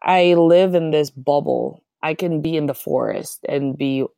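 Low voiced woman from the philippines, chatty guest, laughs a lot, dry sound. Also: unhurried, vocal fry, dark tone, dry recording. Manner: laughs often, leans heavily on "like". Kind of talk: casual interview chat.

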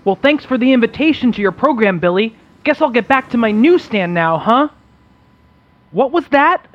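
High-voiced, plucky teenage newsboy, acted by a man. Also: very animated, normal speed, muffled recording. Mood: curious. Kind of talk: amateur radio-play reading of old comics.

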